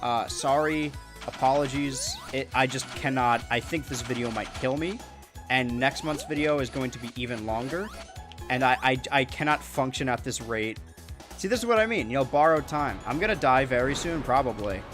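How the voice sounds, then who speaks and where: A young American man with a monotone voice, chatting loosely while streaming a video game, rambling with many restarts. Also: mid-range voice, normal speed, faint music behind. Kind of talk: casual gameplay chat.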